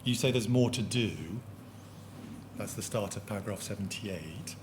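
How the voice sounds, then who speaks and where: A man, questioning a witness at a public inquiry in a measured, precise and courteous way. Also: lowish voice, noisy background. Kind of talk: formal questioning. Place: large public hearing hall.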